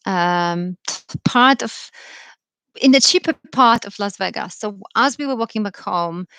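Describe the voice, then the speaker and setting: A woman's voice: medium pitch, measured pace, a bit bright, clean tone, no echo, rough recording. A woman in her thirties from Poland with a Polish accent, giving an informal live talk, thoughtful and full of ums and restarts. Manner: thoughtful, many fillers and restarts. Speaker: career speaker.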